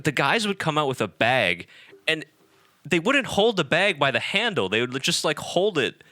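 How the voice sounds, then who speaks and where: A man co-hosting a podcast, chatting casually and telling exasperated, animated stories. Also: fairly high pitch, normal speed, no echo, studio quality. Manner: animated, ranting, exasperated storytelling. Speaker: podcast co-host. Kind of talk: casual chat.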